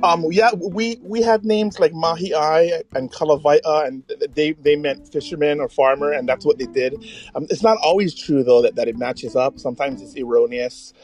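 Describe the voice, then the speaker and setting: A middle-aged man from Hawaii, chatting casually as a podcast host in hesitant, halting phrases. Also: a little high, a little mellow, soft background music. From Hawaii, United States.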